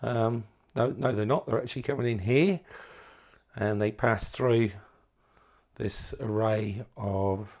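A man presenting as an electronics hobbyist, explaining things informally and haltingly, often correcting himself as he goes. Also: on the low side, a little mellow. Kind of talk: informal technical walkthrough.

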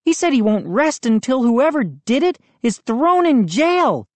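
A woman voicing an excitable boy who is the narrator's friend, loud and agitated. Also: sits high, a little slow, no echo, pitched above the performer's own voice.

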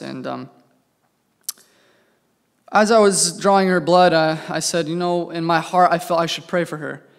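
A young American man giving a relaxed, personal sermon at a church lectern microphone, with many filler words. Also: on the high side. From United States. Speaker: preacher. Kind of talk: conversational sermon. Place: church sanctuary. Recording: long hall reverb in a church.

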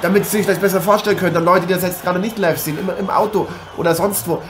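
A man playing a boxer who co-commentates in dramatic dismay, rambling and halting, in improvised parody live commentary. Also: high-pitched, steady tone, fairly bright tone. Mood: explaining. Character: American boxer Matthew Ironhead co-commentating, dramatically aghast. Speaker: boxing co-commentator. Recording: noisy amateur recording with ambience.

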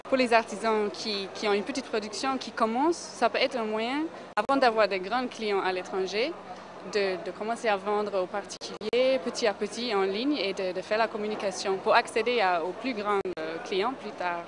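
A woman who works for an NGO, answering a street interview in a clear, matter-of-fact way into a handheld mic. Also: medium pitch, moderate pace, a crowd in the background. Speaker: NGO worker. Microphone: handheld mic held by interviewer. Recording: steady background noise.

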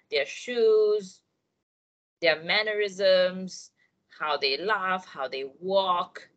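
A woman's voice: medium pitch, a bit bright. A young woman with a Malaysian accent giving an encouraging online English writing lesson, speaking directly to her students.

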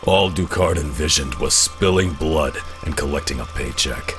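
Male actor as stern middle-aged vigilante, extremely low voice, commanding, terse, comic-book drama, prominent music. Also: normal speed. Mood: grim, commanding. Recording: loud music behind.

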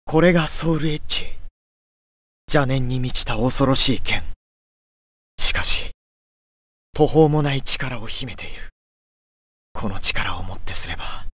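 An actor playing an earnest young swordsman devoted to his homeland in a fighting game, husky-voiced, solemn and dramatic. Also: mid-range voice, a bit bright, dry recording. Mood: awed, torn.

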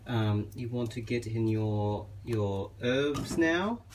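A young man playing a genteel, affectionate elf king giving a gentle, hesitant, casual cooking demonstration. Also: mid-range voice, lively intonation, slow pace. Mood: gentle, instructive. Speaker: cooking show host. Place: small home kitchen, indoors. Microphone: camera a step or two away. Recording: clearly noisy kitchen recording.

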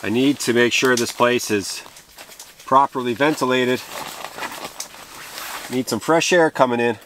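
A middle-aged man talking casually to camera while winter camping in a canvas tent, relaxed and laughing at himself. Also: mid-range voice, unhurried, slight background noise. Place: inside a canvas hot tent with a wood stove, in snowy woods.

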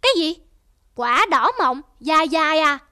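Very high-pitched bossy cartoon monkey. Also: female voice, lively intonation, moderate pace, sharp tone, no echo, studio quality. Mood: greedy, eager. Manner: haughty, blustering. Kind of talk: children's cartoon voice acting. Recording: music behind the voice.

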